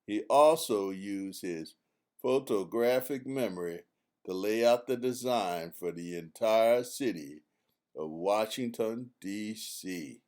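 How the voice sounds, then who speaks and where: A man reading his own children's book aloud as an expressive, emphatic storyteller. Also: fairly low pitch, slow pace.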